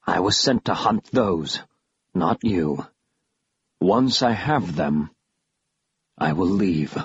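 Man voicing a slow, low, menacing villain. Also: expressive, slightly rough, exaggerated voice. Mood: cold bargaining. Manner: slow, cold, deliberate delivery. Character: menacing half-metal male villain, cold and calculating. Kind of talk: audiobook character voice. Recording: roomy echo, dull lo-fi sound.

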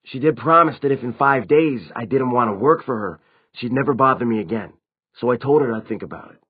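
A man's voice: mid-range voice, lively intonation, fairly quick, breathy edge, phone-quality sound, pitched above the performer's own voice. A man voicing an anxious, earnest, defensive teenage vampire in an audiobook story, with emphatic delivery. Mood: firm, defensive.

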